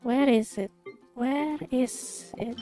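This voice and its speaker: A woman's voice: sits high, slow, warm tone, faint music behind. A woman giving casual commentary as she plays through a video game. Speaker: gamer narrating a walkthrough.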